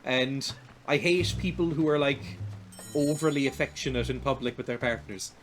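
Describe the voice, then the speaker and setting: A young man in his twenties with a light, naturally high voice, rambling and laughing at himself while he streams a video game. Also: normal speed, soft background music. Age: 20s. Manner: rambling and self-deprecating, laughs often. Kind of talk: casual chat while playing a video game.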